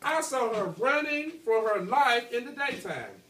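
A man's voice: very high-pitched, lively intonation, a little slow, bright, forceful tone, heavily acted. A man reads the part of a big storybook crow, defensive and justifying itself. The recording is echoey and made from far off. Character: defensive crow blaming a rabbit in animal folktale.

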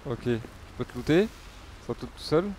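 A man's voice: mid-range voice, animated, moderate pace, noisy background. A man giving casual live game commentary, muttering to himself and swearing freely. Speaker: game streamer.

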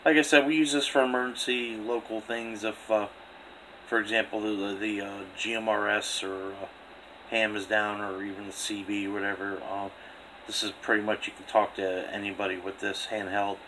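Guy w kinda low voice doing casual radio test chat, bit of background noise. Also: flat delivery, normal speed, fairly bright tone, poor audio. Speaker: two-way radio operator demonstrating equipment. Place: indoor room beside a radio set.

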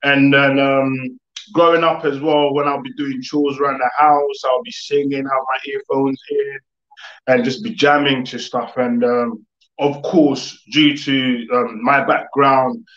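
A man's voice: mid-range voice, flat delivery, unhurried, a bit bright. Young man on an echoey video call. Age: young adult. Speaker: guest, music producer. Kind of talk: casual interview storytelling. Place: living room, video call. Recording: echoey room, dull video-call audio.

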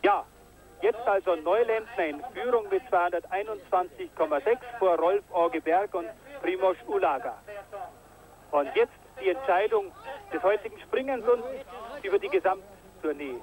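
High-voiced man commentating ski jumping. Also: moderate pace, over music, rough recording. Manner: excited, rises sharply on big jumps. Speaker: sports commentator. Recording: old broadcast tape.

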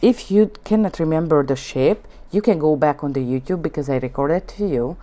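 A woman's voice: deep voice, moderate pace, mellow. A woman from Hungary speaking English with a Hungarian accent, teaching nail art in a chatty, rambling way full of fillers and broken grammar. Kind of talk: casual demonstration talk. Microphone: off-camera voice over hands-only shot.

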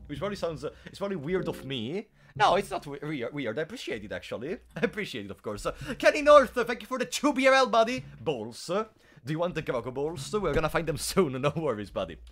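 A young man streams a game, his voice fairly high and swinging widely in pitch as he teases his chat. A sore throat roughens his playful, chatty delivery. Faint music plays under him. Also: wildly swooping pitch, brisk, a bit bright. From Italy. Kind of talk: casual live-stream commentary.

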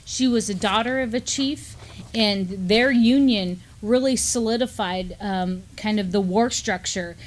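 A woman's voice: mid-range voice, a little slow, slight background noise, low-quality recording. A middle-aged woman with an American accent explains local news and history conversationally as a co-host in a small studio. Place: small indoor studio, seated at a desk.

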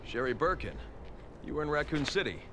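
An actor playing a gruff, commanding special-forces captain in a video game. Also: male voice, fairly high pitch, big pitch swings, a little fast. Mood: stern, steady. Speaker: military team captain. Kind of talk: cinematic video game acting. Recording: loud music behind.

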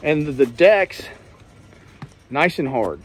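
A middle-aged man's voice with a slightly raised pitch, loose and plainspoken. He wanders through his points outdoors, restarting sentences as he goes. It sounds picked up by a handheld camera held close to him. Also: lively intonation, slow pace, husky. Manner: plainspoken, rambling, trails off and restarts. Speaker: sailing vlogger. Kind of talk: casual walkthrough commentary. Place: outdoors in a boatyard, walking around boats. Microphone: handheld camera, selfie framing.

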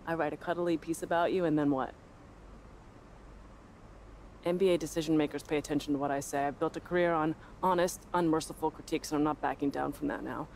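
An American woman in her thirties playing a sharp, ambitious sports reporter in a video game, with a pointed, provocative manner. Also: low-pitched, fairly even intonation, fast talker, creaky, few pauses, a little hiss or hum, rough recording. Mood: earnest, unyielding. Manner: pointed, sardonic, self-assured. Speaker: sports journalist character. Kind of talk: video game cutscene dialogue.

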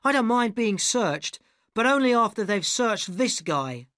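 A reader voicing a suspicious, confrontational young woman who speaks in a high, accusing tone. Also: very animated, measured pace, fairly bright tone, dry recording, clean studio sound. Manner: shrill, accusing, high pitched. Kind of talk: audiobook character voice.

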